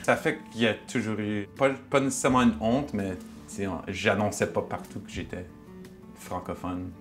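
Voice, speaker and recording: Very deep-voiced casual man. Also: steady tone, normal speed, faint music behind. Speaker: artist. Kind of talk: documentary interview.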